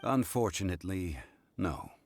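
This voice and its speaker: A man playing a composed, refined gentleman scholar in a video game, speaking in a measured, formal, erudite way. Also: sits low, expressive.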